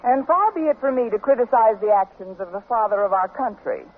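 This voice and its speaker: An actress playing a wry, lovestruck high school English teacher with dry, wisecracking delivery in a 1950s radio comedy. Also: female voice, animated, brisk. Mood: wry narrating. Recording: noisy, tinny old radio broadcast.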